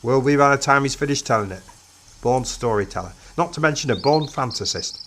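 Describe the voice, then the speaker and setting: A man's voice: mid-range voice, expressive, fairly quick, fairly bright tone, a little hiss or hum, pitched below the performer's own voice, natural voice. A reader voicing a blunt, dry-humoured male time-traveller who talks in a casual, clipped way.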